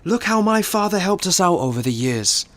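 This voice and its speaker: An actor playing a loyal, defensive and ambitious theatre business manager in a radio play, earnest and quick to argue. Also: male voice, high-pitched, big pitch swings, a bit bright. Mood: defensive, combative. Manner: earnest, combative, assertive.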